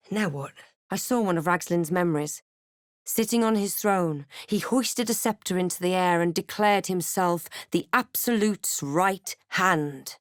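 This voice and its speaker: A woman playing a wry, guarded adventurer heroine in a fantasy game, with a measured, dramatic delivery. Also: lowish voice, dry recording, studio quality. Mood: wry, watchful. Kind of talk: scripted fantasy game voice lines and narration.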